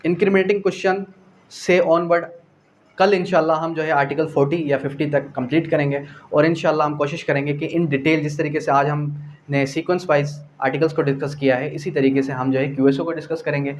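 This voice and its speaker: A Pakistani man teaches in a lecturer's manner, his voice pitched a little higher than average. He poses questions, answers them himself and repeats key phrases, slipping in English terms. Some noise sits behind his voice. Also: little pitch movement, brisk. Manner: mixes English legal terms into speech. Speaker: law lecturer. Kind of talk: classroom lecture explaining definitions and articles.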